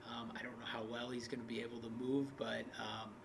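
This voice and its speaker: Gravelly guy coach, flat delivery, keeps restarting, sitting in a media room with background noise. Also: mid-range voice. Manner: candid, halting, many restarts and trailing off. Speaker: basketball coach. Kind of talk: post-game interview. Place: indoor media room in front of a backdrop.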